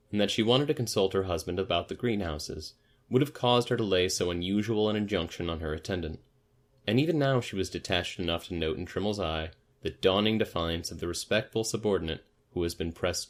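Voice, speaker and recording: A man's voice: deep voice, animated, a little fast. A man narrating literary fiction as a story reader, in an audiobook style.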